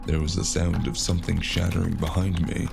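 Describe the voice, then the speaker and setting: A man with a gravelly voice narrating a scripted first-person story in a gritty, dramatic way. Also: low-pitched, little pitch movement, fairly quick. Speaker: narrator of a cyberpunk audio drama. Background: loud music.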